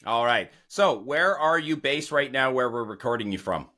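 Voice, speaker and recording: A man with a bright, tense-edged voice hosts a podcast interview. He talks in a chatty, enthusiastic way and rambles into practical tips between questions. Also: mid-range voice, expressive, normal speed. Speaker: podcast host.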